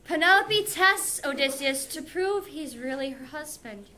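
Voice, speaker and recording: An American woman in her forties, an English teacher, leading a classroom lesson and reading aloud in an animated, informal way. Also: sits high, a little slow, a little hiss or hum. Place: school classroom with whiteboard. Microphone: camera a few feet away.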